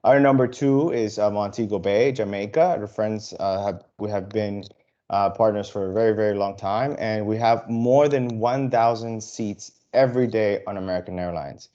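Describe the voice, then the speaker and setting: A man from the Dominican Republic, an airline sales representative, giving a casual, halting presentation to travel advisors, full of fillers and restarts. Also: lowish voice, fairly even intonation, warm tone, lo-fi sound.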